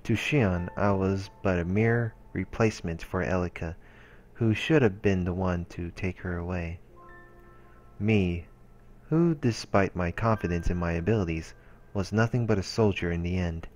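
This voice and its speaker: Casting: male let's-play narrator, low register, measured reading of story prose, soft music underneath. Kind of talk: reading visual novel narration aloud. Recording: voice-over with music behind. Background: game music.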